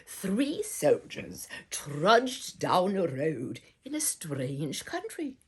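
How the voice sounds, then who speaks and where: A woman reading a children's storybook aloud in a theatrical, deliberate way, as if addressing young listeners. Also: fairly low pitch, wildly swooping pitch, husky. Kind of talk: performed children's storybook narration.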